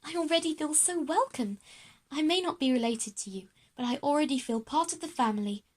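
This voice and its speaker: A woman voicing a timid, grateful girl with a troubled past in an audio drama, soft and earnest. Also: high voice, a little fast, voice pushed up, close to an everyday voice. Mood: shy, grateful.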